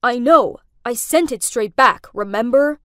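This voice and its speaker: A woman's voice: fairly high pitch, unhurried, bright, forceful tone, no room sound, studio quality. A woman voicing an awkward, curt young man, a boyfriend in a dramatic story. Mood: curt, angry. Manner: terse, gruff when angry.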